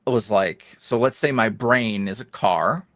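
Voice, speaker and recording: A man's voice: on the low side, measured pace, fairly bright tone, no echo, studio quality. A man, an ASMR roleplay creator, chatting as a guest in a casual interview, rambling with many fillers and restarts.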